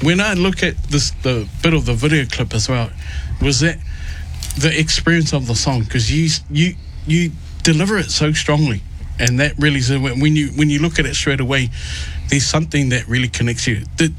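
A man's voice: fairly high pitch, normal speed, a bit bright, a little hiss or hum, low-quality recording. A man hosting a radio show, interviewing musical guests in an effusive, rambling way.

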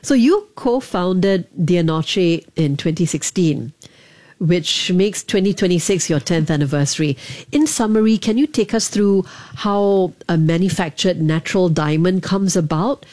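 A woman's voice: lowish voice, expressive, a little slow, dark tone. A woman interviewing a guest, asking inquisitive follow-up questions. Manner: inquisitive, prompting follow-ups.

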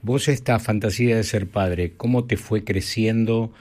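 A man, an interviewer asking measured, reflective questions. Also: lowish voice, fairly even intonation, darkish tone.

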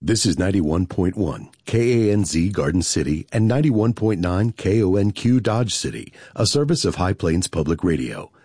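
A male announcer giving a smooth station identification. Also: low-pitched, big pitch swings, normal speed, hardly any pauses, mellow, no echo, studio quality.

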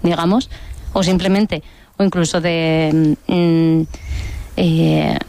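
Woman teacher, a bit low. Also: steady tone, dark tone. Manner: thoughtful, hesitant pauses. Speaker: language teacher. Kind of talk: panel discussion.

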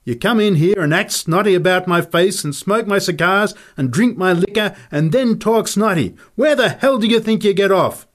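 A man's voice: on the low side, steady tone, a little slow, few pauses, breathy edge. A man voicing a belligerent, punch-drunk little former boxing champion in a story reading, aggressive and taunting as he swears. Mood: angry, accusing.

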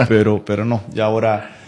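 A Costa Rican man in his late forties speaking as an interview guest, in a halting, reflective, conversational way, often repeating words and adding small tags for agreement. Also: lowish voice. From Costa Rica, Palmares in the western Central Valley. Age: late 40s. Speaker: interview guest, writer and designer.

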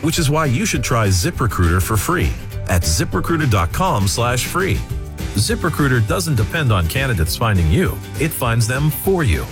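A male announcer pitches a product in an upbeat radio ad. His voice rises and falls a great deal, and clear music runs underneath. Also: very animated, moderate pace. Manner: upbeat, persuasive. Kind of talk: radio commercial.

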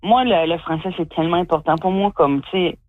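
A woman's voice: on the low side, brisk, no echo. Chatty woman on a tinny line. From New Brunswick, Canada. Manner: chatty and effusive, with many fillers. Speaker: comedian and guest. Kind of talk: interview answers.

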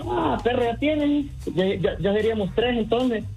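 A man's voice: very high voice, expressive, moderate pace, vocal fry, a little mellow, faint music behind. A man from Venezuela taking a prank phone call, dry and unbothered, firing wisecracks back. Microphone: phone line.

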